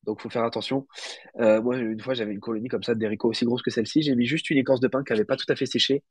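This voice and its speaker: Un homme légèrement enrhumé qui explique l'élevage de cloportes en direct, sur un ton décontracté, avec beaucoup d'hésitations et de mots cherchés. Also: male voice, mid-range voice, steady tone, rapid-fire, breathy edge, no room sound. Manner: hesitant, many fillers, searches for words. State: slightly congested from a cold. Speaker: guest isopod breeder. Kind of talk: casual livestream explanation.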